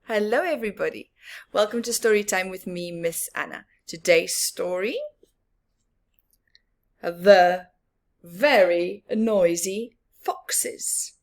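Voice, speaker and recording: A woman reading a picture book aloud to children with expressive, drawn-out emphasis and asides about the story. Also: medium pitch. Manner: animated, drawn-out emphasis with whispered words.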